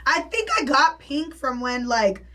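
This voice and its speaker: A woman with a rough voice, a radio personality and club MC, chatting casually in a blunt, slangy way and swearing freely. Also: sits high, measured pace, bright, forceful tone. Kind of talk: casual chat. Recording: voice a bit distant from the mic.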